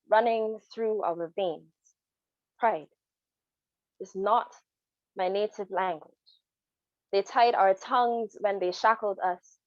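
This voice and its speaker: A woman's voice: mid-range voice, no echo, muffled recording. A young woman from Barbados, performing spoken word poetry in a rhythmic, emphatic way over a video call. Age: young adult. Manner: rhythmic, emphatic, repeated refrain.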